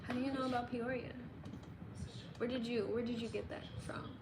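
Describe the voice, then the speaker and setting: A woman's voice: on the high side, flat delivery, a little fast. A young woman from Illinois in the American Midwest, chatting playfully on a livestream and answering viewers' guesses. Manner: playful, laughs often, guesses aloud at viewers' comments. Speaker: livestream host. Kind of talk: casual livestream chat reading comments. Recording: echoey room with clear background noise.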